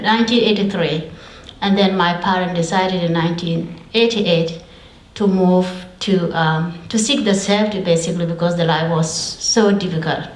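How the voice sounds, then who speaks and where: A woman in her forties from South Sudan giving a measured, matter-of-fact personal account in a public speech. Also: mid-range voice, reverberant, rough recording. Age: 40s.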